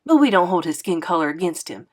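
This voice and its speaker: A woman's voice: fairly low pitch, sing-song, fast. A woman voicing a teasing, proud, blunt male musician from a 1930s jazz band in a storybook. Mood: matter-of-fact. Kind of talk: acted storybook dialogue.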